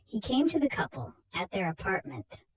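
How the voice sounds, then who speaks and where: A woman's voice: medium pitch, wildly swooping pitch, moderate pace, slightly rough, low-quality recording. A woman narrating a short story in a dramatic storytelling style.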